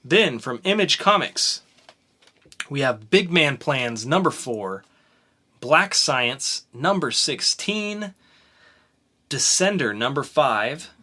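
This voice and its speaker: A man's voice: medium pitch, big pitch swings, slow pace, pauses often, bright, forceful tone. A man co-hosting a casual comics podcast, chatting in an upbeat way as he runs through a list of upcoming releases. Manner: listing items in a chatty, upbeat way. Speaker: podcast co-host.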